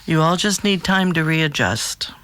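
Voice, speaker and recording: A woman playing a calm, professional therapist who speaks in a measured, practical way in an audio drama. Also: low-pitched, clear, resonant tone. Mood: calm, encouraging.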